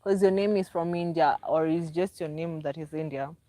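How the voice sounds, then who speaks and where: A woman's voice: sits low, normal speed, mellow, dry recording. A Kenyan woman hosting a casual livestream, chatty and repetitive as she greets viewers by name and promotes her books. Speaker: livestream host and author.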